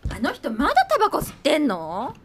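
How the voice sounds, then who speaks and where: An actress playing a sardonic, resentful screenwriter daughter in a naturalistic Japanese radio drama, blunt and quick to retort. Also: female voice, sits high, huge pitch swings, fairly bright tone, slight background noise, full character voice. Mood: scornful. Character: sharp-tongued, resentful screenwriter daughter of a film director.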